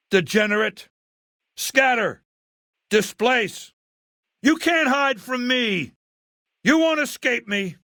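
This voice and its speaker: A man playing an arrogant, ruthless middle-aged warlord dictator in a video game, speaking in a haughty, deliberate, condescending way. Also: high voice, sharp tone, no echo, studio quality, full character voice. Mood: shouting in battle. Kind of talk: video game voice lines and monologue.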